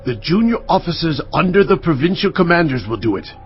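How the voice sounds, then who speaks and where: An actor playing a solemn, assured prophet in a dramatised audio Bible, declaiming God's word emphatically. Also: male voice, on the high side, big pitch swings, a little fast. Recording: dull lo-fi audio with music. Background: background music.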